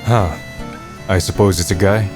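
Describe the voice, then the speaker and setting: A man voicing a weary, cynical young man in a manga dub, with a reflective, low-key and dryly blunt delivery. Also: fairly even intonation, normal speed, music in the background, natural voice. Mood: dry, questioning. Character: weary, cynical young man narrating his final days. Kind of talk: fan manga dub, first-person narration and dialogue.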